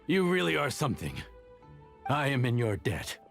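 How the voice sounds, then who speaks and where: A man's voice: mid-range voice, moderate pace, gravelly, faint music behind. An actor playing an eager, effusive young male inn cook in a video game, full of amazement and gratitude. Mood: grateful, relieved. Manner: animated, effusive.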